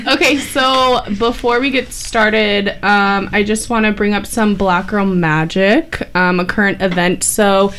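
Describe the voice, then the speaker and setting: Smooth-voiced woman hosting a chat. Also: mid-range voice, measured pace, hardly any pauses. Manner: relaxed, chatty, frequent "um" fillers. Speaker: talk show host. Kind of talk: casual panel discussion.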